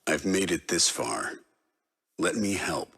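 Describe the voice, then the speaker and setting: Solemn game warrior: slow, very raspy, creaky bass in long reverb. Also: male voice, a bit bright, exaggerated voice. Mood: solemn resolve. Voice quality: deep, gravelly. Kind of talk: video game character acting.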